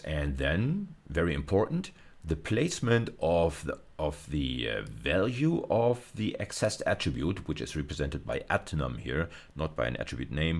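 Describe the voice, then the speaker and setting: A man giving an informal technical video lecture as a university lecturer, explaining step by step in a halting way and often rephrasing himself. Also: rumbling low.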